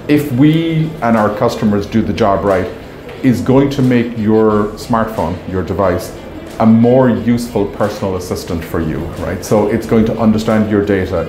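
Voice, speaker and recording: A man's voice: on the low side, noisy background. A man in his fifties being interviewed as a company executive, explaining things conversationally and often checking with "right?". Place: indoor meeting room at trade show. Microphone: clip-on lapel mic. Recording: roomy echo, music underneath.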